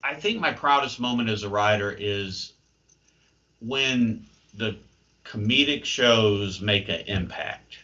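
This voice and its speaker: Deep-voiced, thoughtful man being interviewed. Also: slow, sharp tone, muffled recording. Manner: hesitant, thoughtful, wry. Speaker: playwright and creative writing professor, interview guest. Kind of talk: conversational interview answers.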